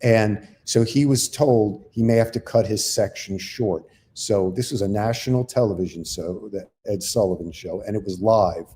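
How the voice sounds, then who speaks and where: An older man with a fairly low, dark, mellow voice talks in a relaxed, chatty way. He drifts through long, self-deprecating stories, one after another. The sound is of a comedian trading stories with a co-host. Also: normal speed, hardly any pauses, a little hiss or hum. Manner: rambling anecdotal storyteller, self-deprecating. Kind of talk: casual chat and storytelling.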